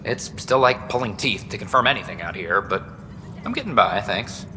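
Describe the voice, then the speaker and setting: An actor playing a chatty, dedicated news broadcaster on a space station in a video game, informal and earnest. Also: male voice, lowish voice, animated, normal speed, sharp tone. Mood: friendly, earnest. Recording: echoing hall, clear background noise.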